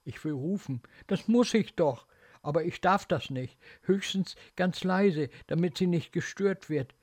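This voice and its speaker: Frightened small boy, played by a man, anxious and pleading, higher-pitched, halting phrases, dramatic story. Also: darkish tone, dry recording. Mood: panicked, disgusted. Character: frightened, obedient little boy confined in a hospital bed.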